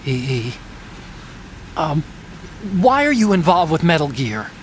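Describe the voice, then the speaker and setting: A man's voice: on the high side, big pitch swings, takes it slowly, background noise. A man playing an anxious, hesitant, emotional older brother in a dramatic video game cutscene. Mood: agitated. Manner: hesitant, agitated.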